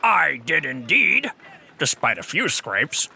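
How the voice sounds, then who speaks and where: An actor playing a boisterous, blustering old one-eyed war veteran in a fantasy audio drama, with a raspy voice. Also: male voice, a little high, huge pitch swings, slow pace, heavily acted. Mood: boastful, needling. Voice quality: gravelly, raspy. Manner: loud, hearty, blustering.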